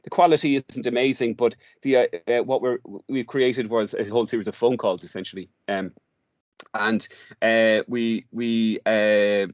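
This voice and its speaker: An Irish man talking conversationally as an interview guest, halting and often repeating words as he explains. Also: on the low side, fairly even intonation, dry recording, lo-fi sound. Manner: hesitant, halting, with stammered word repeats. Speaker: guest, audio theatre workshop leader.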